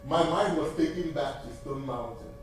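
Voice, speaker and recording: A man's voice: fairly high pitch, moderate pace, slight background noise. A man from Nigeria with a Nigerian accent whose first language is Igbo, giving an earnest church testimony and appeal that repeats key phrases for emphasis. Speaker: seminary graduate speaking at church. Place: church sanctuary. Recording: distant, roomy church recording.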